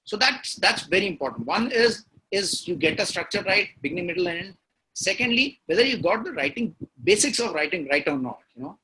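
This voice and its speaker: A man's voice: sits high, animated, fairly quick, sharp tone, breathy edge, rough recording. A man with an Indian accent, a guest in an interview, talking emphatically and laying out his points one by one, often repeating key words. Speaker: guest writer. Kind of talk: interview talk on storytelling.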